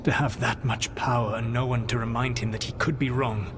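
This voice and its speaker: An actor playing a proud, regretful ancient elven god in a video game, speaking in a deliberate, formal, reflective way. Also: male voice, mid-range voice, fairly even intonation, noisy background, natural voice. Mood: grave, measured. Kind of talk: dramatic video game acting.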